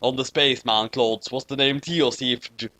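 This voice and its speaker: A man's voice: medium pitch, fairly even intonation, normal speed, pushed and bright, no room sound. A man narrating a parody story as a comic dramatic reading, mock-serious, with casual asides.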